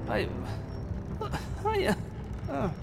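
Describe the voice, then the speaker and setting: An actor playing a posh, self-important professor in an audio drama, halting and easily flustered. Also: male voice, very high voice, very animated, vocal fry, music in the background, exaggerated voice. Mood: uneasy. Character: posh, self-important male professor, anxious archaeological explorer.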